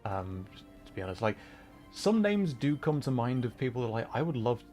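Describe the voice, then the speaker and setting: A man chatting casually on a livestream while playing a game, rambling and halting as he thinks aloud. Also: mid-range voice, sing-song, a little mellow, faint music behind. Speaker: livestreamer, VTuber and content creator.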